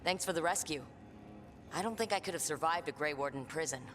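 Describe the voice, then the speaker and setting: A young rebel adventurer in a fantasy game, earnest and plain-spoken with a wry edge. The sound is reverberant, with music behind. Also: female voice, low-pitched, fairly quick, fairly bright tone. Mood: grateful, curious. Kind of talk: natural game dialogue. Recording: roomy reverb, music underneath.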